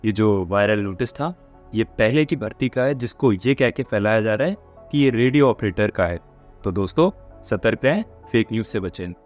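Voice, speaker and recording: A man's voice: fairly low pitch, lively intonation, normal speed, darkish tone. Man explaining over music. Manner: mixes in English words. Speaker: host of a job updates channel. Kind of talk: informal explainer for exam candidates.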